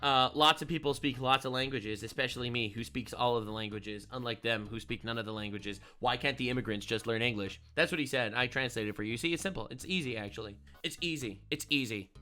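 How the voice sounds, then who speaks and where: Sarcastic ranting streamer, bright voice. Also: male voice, medium pitch, fairly quick, hardly any pauses. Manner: sarcastic, mocking, rants loudly. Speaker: streamer reacting to videos. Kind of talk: casual reaction commentary.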